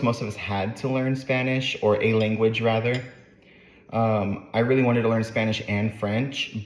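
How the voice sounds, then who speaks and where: Man, late twenties, light Southern accent, low voice, rambling casual vlog talk, echoey room. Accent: light Southern US with dropped R's. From Northern California. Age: late 20s. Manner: rambling, with many fillers and self-corrections. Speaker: channel host. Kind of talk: casual talking-to-camera vlog.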